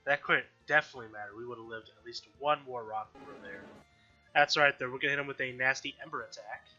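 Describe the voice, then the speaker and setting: A man's voice: mid-range voice, fast, pushed and bright. A man with an allergy-stuffed, slightly nasal voice, casually chatting through a game he is playing as host of a let's-play video. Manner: chatty, frequent self-corrections and throat clearing. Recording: dull lo-fi audio with faint background music.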